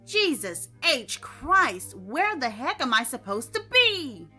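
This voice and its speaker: Anxious, exasperated man played by a female narrator, bright emphatic short-story delivery. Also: high voice, big pitch swings, slow, breathy edge, soft background music, voice pushed up, full character voice. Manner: emphatic, exclaiming self-questioning. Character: anxious, exasperated man puzzling over forgotten appointment.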